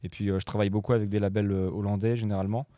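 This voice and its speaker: A young man from Morocco chats as a guest on a talk show, pausing often with fillers and restarting his sentences. His voice sits a bit low and mellow, and the dry recording keeps every word close. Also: flat delivery, no room sound. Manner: hesitant, many fillers and restarts. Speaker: guest DJ and producer. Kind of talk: casual chat.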